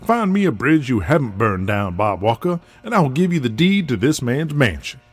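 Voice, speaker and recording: A man's voice: mid-range voice, very animated, moderate pace, slightly rough. A man playing a brash, persuasive wrestling booker with big plans in an audio drama, talking in a folksy, salty way. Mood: pushy. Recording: music bed under the voice.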